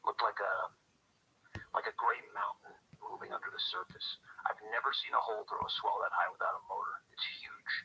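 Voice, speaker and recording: An actor playing a shaken, awestruck lighthouse keeper giving a hushed, ominous warning over a filtered telephone in a radio drama. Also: male voice, fairly low pitch, huge pitch swings, a little fast, in a whisper, heavily acted, poor audio. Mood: hushed, alarmed. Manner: breathy, secretive, ominous.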